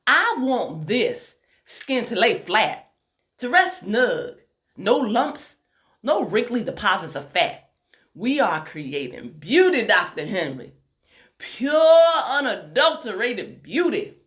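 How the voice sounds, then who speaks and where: A proud, exacting Southern woman surgeon played by a female audiobook narrator speaks in a Tennessee accent. She is emphatic and folksy, giving orders with her pitch lifted well above plain narration. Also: very animated, slow pace, fairly bright tone, heavily acted. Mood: commanding.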